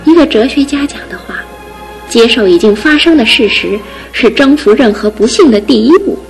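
An actress in a radio drama playing a devoted young fiancée who speaks earnestly and pleadingly, with steady resolve. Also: female voice, a little high, moderate pace, soft background music, poor audio. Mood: soothing. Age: young adult. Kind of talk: broadcast radio drama acting.

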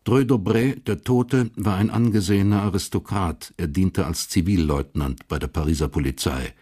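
A man narrating a radio crime drama in measured, sober, literary German prose style. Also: deep voice, dark tone, slightly rough.

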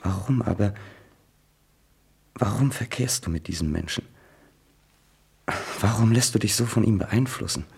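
A jealous young writer son, very husky lowish voice, whispery then shouting. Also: male voice, measured pace, long pauses, heavily acted. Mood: brooding. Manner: brooding whispers flaring into shouting. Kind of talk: stage drama.

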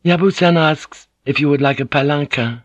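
A man voicing a gentle, proud young Japanese noblewoman, speaking softly and formally. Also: a little high, moderate pace, dry recording, dull audio, voice pushed up, close to an everyday voice. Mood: gentle, earnest. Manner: soft and formal.

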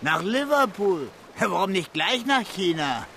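An actor playing a gruff, stubborn male ship captain in a radio play, answering in short, blunt replies. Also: sits high, sing-song, measured pace, pushed and bright, a little hiss or hum, heavily acted.

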